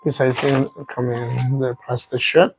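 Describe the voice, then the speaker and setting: A man who is a native Vietnamese speaker gives a casual, unscripted product unboxing, haltingly searching for words. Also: mid-range voice, level tone, background noise.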